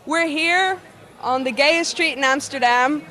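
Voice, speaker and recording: A woman's voice: sits high, unhurried, bright, forceful tone. A woman hosting a show in a casual, enthusiastic way, talking to viewers and guests. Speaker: TV show host and interviewer. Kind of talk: casual presenting to camera.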